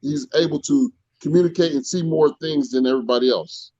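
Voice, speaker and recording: A man in his fifties on a livestream, chiming in excitedly. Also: a little high, fairly quick, breathy edge, dull audio. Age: 50s. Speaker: live-stream panelist. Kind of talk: casual chat.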